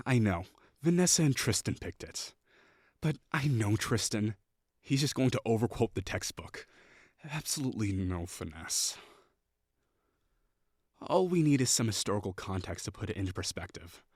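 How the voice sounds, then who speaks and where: A man's voice: mid-range voice, slightly rough, no room sound, studio quality. A young man voicing a prickly, condescending perfectionist classmate in an audio roleplay, talking in a bossy, sarcastic way. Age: young adult. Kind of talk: scripted roleplay acting.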